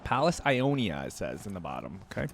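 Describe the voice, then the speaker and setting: Excitable young man reacting aloud. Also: lowish voice, a little fast, creaky, noisy background. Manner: excitable, bursts of exclamations, thinking aloud. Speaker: reaction video host. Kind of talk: casual reaction commentary. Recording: voice over playing video music.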